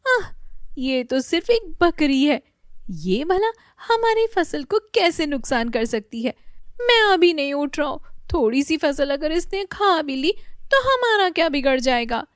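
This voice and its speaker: A woman voicing a lazy young village boy who whines in a high, sing-song voice. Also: sing-song, a little slow, dry recording, studio quality. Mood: sleepy dismissive.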